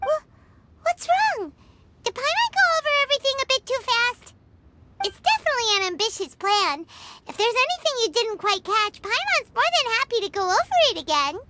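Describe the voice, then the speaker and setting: A woman's voice: very high voice, sing-song, normal speed, very noisy. A woman voicing a tiny, bubbly fairy companion who talks about herself in the third person in a video game. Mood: eager. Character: tiny chirpy floating fairy companion, girlish.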